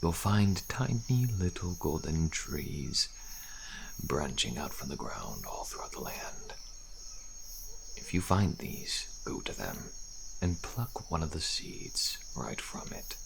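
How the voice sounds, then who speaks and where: Frail old male deserter, ASMR fantasy roleplay: slow, wary, helpful, thick gravel, faint cricket noise behind. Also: expressive, exaggerated voice. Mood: patient, instructive. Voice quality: very deep, gravelly, breathy at times. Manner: slow, archaic, deliberate, often dropping to a murmur.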